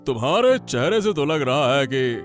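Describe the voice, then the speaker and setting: A man's voice: medium pitch, sing-song, moderate pace, faint music behind, natural voice. A man voicing a shrewd, jovial king who probes his courtier with stately, repeated phrases in a Hindi cartoon. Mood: welcoming, curious. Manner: stately, probing, repeats himself. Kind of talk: Hindi cartoon moral-story dubbing.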